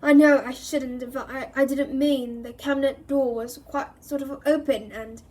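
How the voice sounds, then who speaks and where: A woman's voice: high voice, fairly even intonation, measured pace, darkish tone, far from the mic. A woman voicing a nervous teenage boy who speaks shakily and breathlessly. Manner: gasping, shaky.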